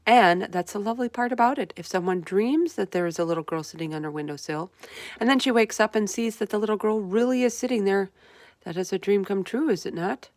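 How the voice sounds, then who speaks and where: A woman's voice: medium pitch, fairly quick, a little mellow, no room sound, studio quality, natural voice. Woman reading as confident, bossy little orphan girl. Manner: firm, instructing, assured. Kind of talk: storybook read-aloud character voice.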